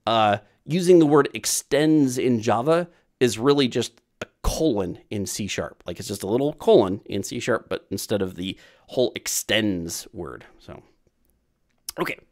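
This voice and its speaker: A man's voice: fairly low pitch, animated, normal speed, a little mellow. A man in his thirties hosting a casual programming livestream Q&A from a small room on webcam, rambling and repeating himself with lots of fillers.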